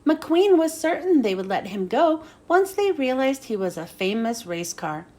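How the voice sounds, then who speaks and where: A woman reading a children's storybook aloud as an expressive narrator. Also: high-pitched, measured pace. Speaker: storybook reader.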